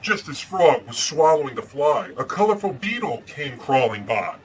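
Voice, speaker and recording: A man playing Batman as an earnest, deadpan superhero host who chats casually and reads storybooks aloud, with some hesitation. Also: medium pitch, normal speed, slight background noise, natural voice. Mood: storytelling.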